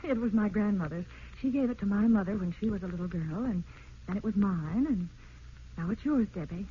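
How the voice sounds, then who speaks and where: A woman's voice: medium pitch, fairly quick, natural voice. An actress playing a tender, emotional young wife and mother in an old radio drama, speaking with earnest melodrama. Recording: muffled, noisy old radio recording.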